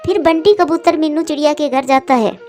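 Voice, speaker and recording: A woman's voice: high voice, normal speed, darkish tone, soft background music. A woman narrator reading a scripted children's cartoon story.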